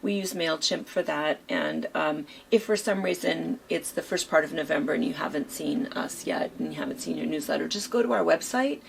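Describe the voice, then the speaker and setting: A hoarse woman co-hosting a quilt shop chat, rambling casually with lots of fillers. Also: low voice, big pitch swings, fairly quick, hardly any pauses, a little hiss or hum, low-quality recording. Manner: chatty, rambling with many fillers.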